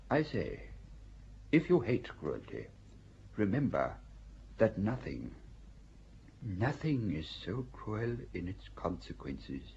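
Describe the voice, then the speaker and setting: An actor playing a stern elderly male inquisitor who lectures a court in a solemn, measured, sermon-like way. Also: lowish voice, a little mellow, husky, exaggerated voice. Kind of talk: audio drama acting. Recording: dull lo-fi recording.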